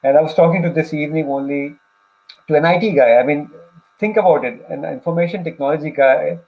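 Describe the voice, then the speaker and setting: A man co-hosts an online careers discussion in a somewhat high voice. He talks conversationally and haltingly, circling back to stress his points. It comes over a video call. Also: vocal fry, lo-fi sound. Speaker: co-host of a career advice livestream for immigrants.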